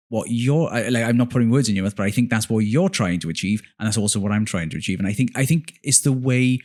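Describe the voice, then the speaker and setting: A man appearing as a podcast guest, speaking in a casual, heartfelt way. His voice is a plain mid pitch. He gets enthusiastic about his ideas, restarting phrases and dropping in "um"s as he goes. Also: darkish tone. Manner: earnest, enthusiastic, with um fillers and self-corrections. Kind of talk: casual interview chat.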